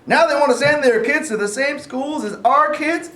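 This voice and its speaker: Man playing excitable high-voiced alarmist official. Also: normal speed. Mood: alarmed. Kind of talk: staged play reading. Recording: roomy, echoey live stage recording.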